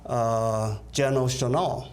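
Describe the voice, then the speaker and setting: A man's voice: mid-range voice, steady tone, takes it slowly. A man who grew up in Taiwan, an event organizer answering interview questions hesitantly, with many fillers. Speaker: concert organizer.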